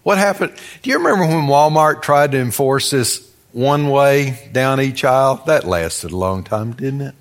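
A man's voice: mid-range voice, expressive, moderate pace. An American man preaching a sermon in a folksy, conversational way, telling stories, repeating phrases for emphasis and asking rhetorical questions.

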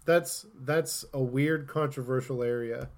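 A man hosting a casual gaming podcast, talking in an opinionated way and thinking out loud as he goes. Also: mid-range voice, fairly even intonation, measured pace. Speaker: podcast host.

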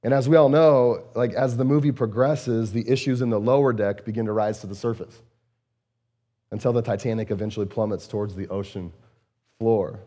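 A man with a low, warm voice gives a casual, earnest sermon as a pastor. He restarts phrases and leans on fillers, and his voice sounds a little strained and hoarse. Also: steady tone, a little fast. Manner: earnest, repeats words and phrases, frequent fillers. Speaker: lead pastor. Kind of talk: conversational sermon.

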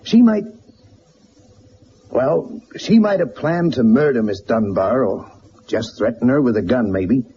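A male actor plays a powerful middle-aged businessman in a radio drama, proud and blunt, with halting, emphatic delivery. His voice is dark and swings in pitch, over a faintly noisy recording. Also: lively intonation, lo-fi sound, natural voice. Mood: grave, troubled.

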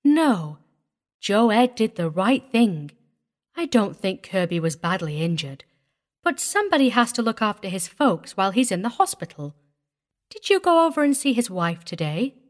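A woman voicing a composed, kindly welfare visitor in a storybook, speaking gently with a knowing, easy manner. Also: mid-range voice, very animated, normal speed, close to an everyday voice. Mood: gentle, probing. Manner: gentle, cheerful, careless drawl.

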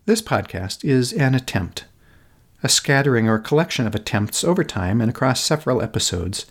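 Podcast host: American male, middle-aged, mid-pitched and very expressive, contemplative scripted delivery, gentle and deliberate. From Minnesota, United States. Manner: contemplative, gentle, deliberate phrasing with emphatic repetition. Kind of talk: reflective scripted narration.

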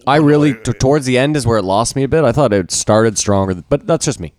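A man's voice: medium pitch, expressive, quick pace, darkish tone. A man co-hosting a podcast, chatting casually and joking, with opinions on what he watched. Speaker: podcast co-host. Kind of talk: casual chat.

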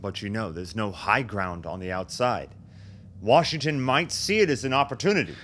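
A man's voice: lowish voice, lively intonation, normal speed, fairly bright tone. An actor in a radio drama playing a self-doubting political leader, a man who sounds hesitant and agitated. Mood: doubtful, tense. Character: anxious, self-doubting new political chairman, a war hero. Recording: noticeable background noise.

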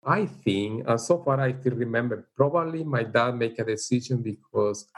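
A middle-aged man from El Salvador with a Salvadoran Spanish accent, speaking English as a second language in a casual interview, halting and unhurried, with frequent fillers. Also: medium pitch, expressive. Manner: unhurried, halting, with frequent "you know" and light laughs. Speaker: interviewee, teacher. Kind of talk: casual life-story interview.

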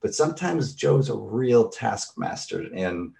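A man's voice: mid-range voice, sing-song, a little slow, creaky, warm tone. A middle-aged man, a therapist, explaining concepts in a thoughtful, conversational way with everyday examples.

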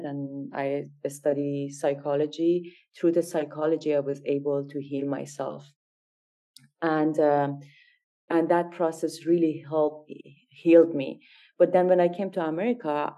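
Woman from Iran, low voice, mellow tone, hesitant, pauses to find words. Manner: hesitant, searching for words in a second language. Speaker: guest fashion designer. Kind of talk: interview answers.

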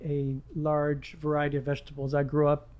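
A man's voice: on the high side, completely flat delivery, fairly quick, lo-fi sound. A man in his sixties from Lebanon, chatting casually as a guest with friendly, rambling talk and many restarts. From Middle East, Lebanon. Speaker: gym client and guest. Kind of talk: casual chat.

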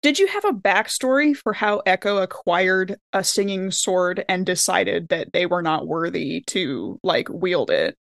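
A woman's voice: fairly low pitch, expressive, a little slow, vocal fry, few pauses, no room sound, clean studio sound. A woman chatting casually and informally as a podcast panelist, with a giggly manner. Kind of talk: casual chat.

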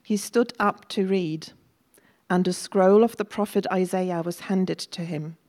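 A woman gives a formal scripture reading in a chapel service. Her voice is low and mellow, and her delivery is very slow and deliberate. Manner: measured and deliberate. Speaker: scripture reader.

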